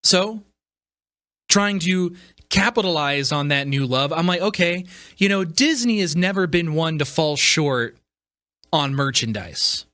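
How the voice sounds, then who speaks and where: A man's voice: on the high side, animated, a little slow, pushed and bright, no echo, clean studio sound. A man, perhaps middle-aged, giving a casual, opinionated podcast monologue as host, stressing his points emphatically. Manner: emphatic, repeats phrases for stress.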